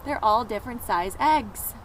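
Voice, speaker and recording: Expressive woman reading to kids outdoors. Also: a little high, normal speed. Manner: expressive, talking directly to young children. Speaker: teacher reading aloud to children. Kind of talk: picture-book read-aloud with asides to listeners. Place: outdoors near pet chickens. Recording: noisy outdoor recording, urban ambience.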